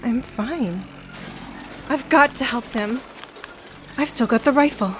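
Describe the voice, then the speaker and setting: Fierce desperate young woman, high-pitched, urgent, emotional, radio drama, music behind. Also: slow, a bit bright. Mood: distressed, urgent.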